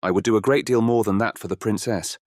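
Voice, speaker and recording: A man's voice: lowish voice, quick pace, a little mellow, no echo, clean studio sound, close to an everyday voice. A man acting a bold, confident young fairy-tale hero in a storybook audiobook, with a courtly, commanding delivery. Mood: determined.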